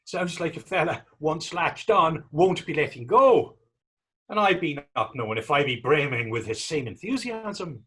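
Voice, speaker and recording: A man acts a witty, skeptical Irish drifter from a period stage play. His voice is husky and very lively, rising and dropping with wry, sardonic turns. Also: on the high side, moderate pace, heavily acted. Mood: wry, resigned. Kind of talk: stage readers-theater dialogue in Irish period dialect.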